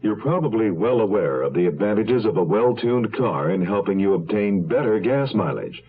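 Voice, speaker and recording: A male announcer delivers a scripted seventies radio commercial. His voice is fairly low with lots of movement in the pitch. The audio is narrow, like a phone line. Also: animated, moderate pace, music in the background. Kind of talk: 1970s scripted radio advertisement. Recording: thin, phone-like old radio broadcast.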